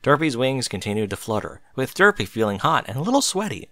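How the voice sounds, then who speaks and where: A man reading a story aloud as an expressive narrator. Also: medium pitch, fast, very noisy.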